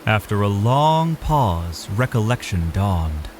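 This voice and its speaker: A man narrates a dramatic fantasy story from a script. His voice is low and highly expressive in pitch, with faint ambience underneath. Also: slow pace, a little hiss or hum.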